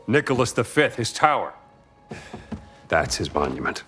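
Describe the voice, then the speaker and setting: A man playing a gruff, wry American adventurer posing as a priest in a cinematic game, with a low voice and an American accent. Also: big pitch swings, normal speed, music in the background. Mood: intent, focused.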